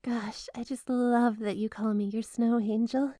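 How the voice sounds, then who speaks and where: A woman's voice: fairly high pitch, steady tone, measured pace, warm tone, no echo, studio quality, close to an everyday voice. A woman playing a flirty, obsessive yandere wife in an ASMR roleplay, gushing and coy with a possessive edge under the sweetness. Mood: gushing, adoring. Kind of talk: ASMR roleplay, addressing the listener as spouse. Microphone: close, dry microphone.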